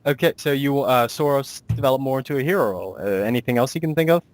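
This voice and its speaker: A man's voice: medium pitch, fast, no room sound. A man hosting a casual interview as a writer and producer, talking in a rambling, self-deprecating way.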